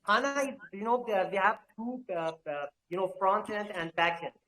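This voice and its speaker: A man's voice: high voice, moderate pace, sharp tone. Hesitant man full of filler sounds. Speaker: crypto project representative. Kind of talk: live online AMA answers.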